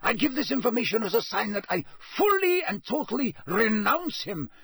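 A man's voice: extremely high pitch, very animated, fairly bright tone, husky, no room sound, studio quality, pitched above the performer's own voice, heavily acted. A man in a storybook reading, voicing an unctuous, panicky prisoner who pleads breathlessly and stammers. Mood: breathless, desperate. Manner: breathless, hurried, stammering, ingratiating.

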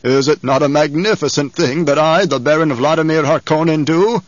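A man voicing a fat, scheming baron villain in an audiobook, with a rumbling, growling basso and a grandiose, savouring delivery. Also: moderate pace, no room sound, dull audio, natural voice. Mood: gloating.

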